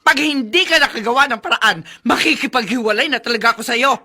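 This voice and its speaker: A woman playing an angry, accusing wife with a shrill, sarcastic delivery in a radio drama. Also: fast talker, sharp tone. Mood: furious, accusing. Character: angry, nagging wife accusing her gambler husband.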